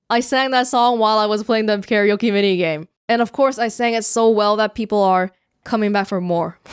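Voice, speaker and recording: A woman's voice: medium pitch, clean tone, no room sound. A young woman from Canada answering viewer questions in a casual vlog, chatty and self-mocking, speaking close to the microphone. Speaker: YouTuber. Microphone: close studio mic.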